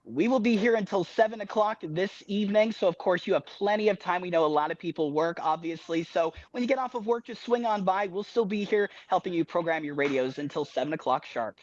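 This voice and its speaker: High-voiced man reporter on dull live feed. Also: brisk, few pauses, no room sound. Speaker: field reporter.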